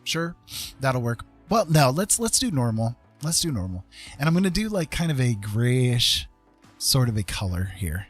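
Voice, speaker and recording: Husky guy streaming digital art, chatty n goofy, kinda mumbles to himself, soft music behind. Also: medium pitch, very animated, moderate pace. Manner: playful, self-deprecating, chatty with viewers; muttering to himself while working. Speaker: host of an art livestream. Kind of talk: casual livestream chat while demonstrating digital art. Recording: soft background music under the voice.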